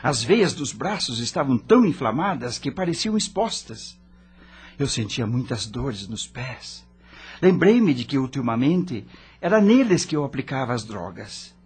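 A man's voice: medium pitch, sing-song, measured pace, a little mellow, slightly rough, full character voice. An actor playing an anguished dead rock star who narrates his own suffering dramatically in a radio drama.

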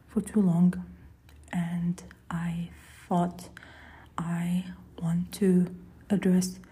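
A young woman whose first language is Arabic, hosting a solo podcast in a soft, often breathy and half-whispered, rambling way. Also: fairly low pitch. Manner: relaxed, rambling, with fillers and restarts. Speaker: podcast host.

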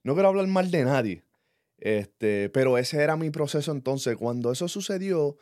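A man in his thirties with a Puerto Rican accent, co-hosting a podcast and talking in an earnest, reflective way, often pausing on fillers. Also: fairly high pitch, expressive, a little mellow, no echo, studio quality. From Puerto Rico. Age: 30s. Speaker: podcast co-host. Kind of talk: casual personal chat.

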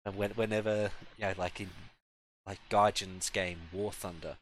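A man with a somewhat low voice hosts a relaxed podcast chat. He talks in a laid-back way and often repeats words as he gets each sentence started. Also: normal speed, slight background noise, rough recording. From Australia. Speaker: podcast host.

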